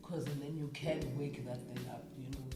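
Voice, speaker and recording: A woman's voice: extremely low pitch, fairly even intonation, normal speed. A middle-aged woman from Cape Town with a South African accent, chatting as an interview guest and slipping into Xhosa phrases. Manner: mixes in Xhosa phrases, laughs often. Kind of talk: casual interview chat. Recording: echoey room with loud music bed.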